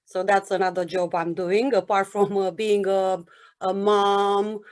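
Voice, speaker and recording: A woman from Romania introduces herself at a slow, unhurried pace. She hesitates often and fills the gaps with "um". Her voice sits at a middle pitch. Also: level tone, a little mellow. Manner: hesitant, with many "um" fillers. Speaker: student, former teacher. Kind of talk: self-introduction.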